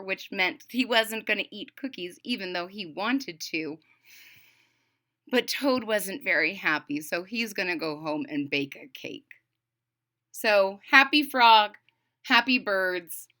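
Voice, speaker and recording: A woman with a high, bright voice reads a storybook to children. Her delivery is animated and expressive, and she explains things in simple words as she goes. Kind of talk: read-aloud narration with explanatory asides to children.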